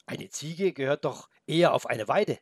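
Man playing an earnest, insistent storybook boy, raised pitch. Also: expressive, moderate pace, breathy edge, no echo, studio quality. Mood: friendly. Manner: emphatic, correcting. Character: earnest, insistent, easily exasperated young boy. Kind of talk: children's storybook character voice.

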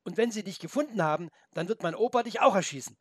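A man voicing a brave young boy in a storybook, speaking high, urgent and pleading. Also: animated, quick pace, breathy edge, no room sound, studio quality. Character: brave young boy, frightened but clever. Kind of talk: storybook reading.

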